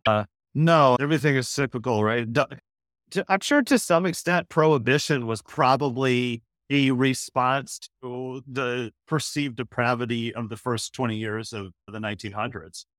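A man's voice: medium pitch, moderate pace, fairly bright tone, no echo. The sardonic guy a listener would hear as the guest on a chatty comedy podcast, recorded dry and close. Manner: wry, sarcastic. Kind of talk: casual comedic chat.

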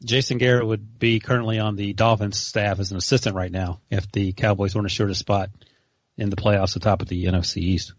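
A man on a casual sports podcast with quick, wry remarks. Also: fairly low pitch, little pitch movement, no echo, dull audio.

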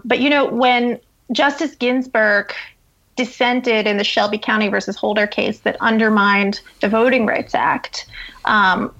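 A woman's voice: mid-range voice, gravelly, fairly bright tone. A woman giving articulate, emphatic explanatory commentary as an interview guest, on a dull, lo-fi recording. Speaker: journalist guest. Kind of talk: interview commentary on law and politics.

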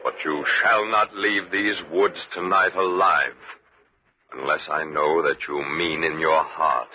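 An actor playing a stern, ghostly rider who judges the guilty, solemn and commanding in 1940s radio style. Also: male voice, very deep voice, fairly even intonation, a little slow. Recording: muffled, noisy 1940s radio recording.

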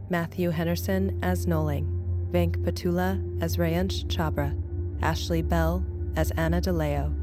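A woman announcer reading scripted credits. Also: fairly low pitch. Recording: music bed behind.

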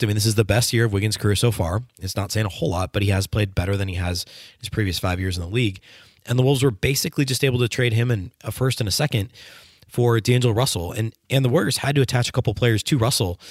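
Hoarse, fast-talking man hosting a podcast. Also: fairly low pitch, dry recording, clean studio sound. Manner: fast rambling analysis with many ums and restarts. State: sick with the flu, hoarse. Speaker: podcast host. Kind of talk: solo sports podcast commentary.

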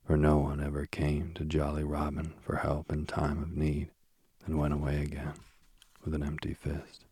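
A man hosting a sleep podcast and reading a bedtime story softly, slowly and soothingly, while he is losing his voice. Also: extremely low pitch, completely flat delivery, slightly rough, no room sound. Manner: slow, gentle and soothing. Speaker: sleep podcast host and reader. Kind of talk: soft bedtime story narration. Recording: faint music behind.

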